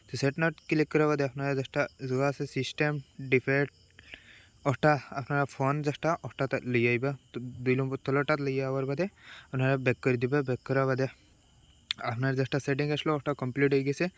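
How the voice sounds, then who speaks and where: The Sylheti man on a small how-to channel, rattling through tap-by-tap instructions in an even, unvarying voice. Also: medium pitch, fairly quick, smooth, no echo. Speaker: tech vlogger giving a phone tutorial. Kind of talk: casual step-by-step tutorial.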